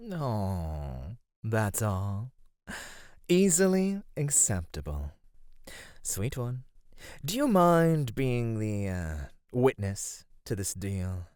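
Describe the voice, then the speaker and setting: Male actor as sly immortal god, low-pitched, lively swooping intonation, slow, teasing, flirty, coaxing, close dry ASMR roleplay. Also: clear, resonant tone, no echo, full character voice. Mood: pleased, coaxing.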